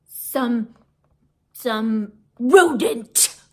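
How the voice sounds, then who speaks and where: Woman playing a grumpy, menacing schoolteacher, slow and emphatic. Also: on the high side, animated, a little mellow, exaggerated voice. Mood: grumbling. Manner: muttering, grumbling, drawn-out emphasis. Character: grumpy, menacing adult female substitute teacher.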